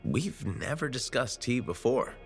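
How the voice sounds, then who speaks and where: An actor playing a calm, reassuring male hero in video game dialogue. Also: mid-range voice, a little slow, soft background music. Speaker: player character.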